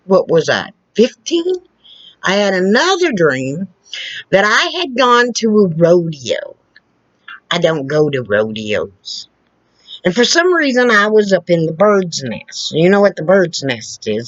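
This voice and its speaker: Slow, lively-voiced woman telling stories. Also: deep voice, dry recording. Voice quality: loud, carrying voice. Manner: slow, halting, with restarts and asides. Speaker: storyteller. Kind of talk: casual personal storytelling. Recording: dull lo-fi recording.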